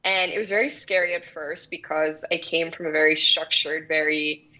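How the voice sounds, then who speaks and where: A woman's voice: low-pitched, steady tone, normal speed, pushed and bright. A woman from El Salvador, in her thirties, answering interview questions earnestly with frequent restarts. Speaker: guest founder. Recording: dull lo-fi sound.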